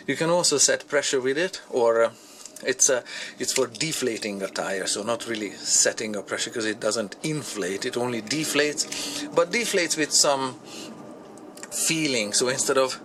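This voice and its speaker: Halting man reviewing over background noise. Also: medium pitch, fairly bright tone. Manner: halting, thinking aloud. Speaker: product reviewer. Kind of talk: casual hands-on product review. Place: indoor workshop at a workbench. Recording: clear background noise, handling clicks.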